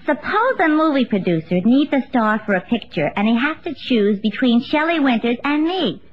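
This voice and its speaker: A woman's voice: fairly high pitch, big pitch swings, normal speed. An actress playing a vain, snippy woman in a 1950s radio comedy. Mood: snippy, self-satisfied. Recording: muffled old radio recording.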